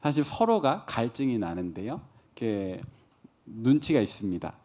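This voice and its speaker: A man's voice: medium pitch, very animated, normal speed, a little mellow. A man in his thirties preaching a conversational sermon as a pastor, posing questions and answering them himself. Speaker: pastor preaching to young adults.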